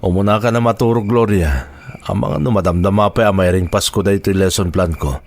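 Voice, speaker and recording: A man's voice: low-pitched, fairly quick, a little mellow, dry recording. A man playing a dedicated, self-sacrificing schoolteacher husband in a radio drama, speaking in an earnest, dutiful way. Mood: tired, earnest.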